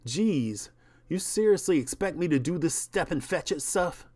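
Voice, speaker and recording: A man's voice: a little high, lively intonation, moderate pace, a little mellow, no room sound, voice pushed up. Man playing an indignant, defiant male movie star. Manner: blunt, defiant.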